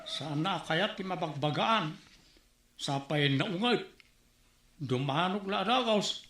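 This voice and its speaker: An actor playing a calm male village official who tries to settle a neighbors' quarrel in a comedy drama. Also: high-pitched, takes it slowly, heavily acted. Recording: echoey room recording.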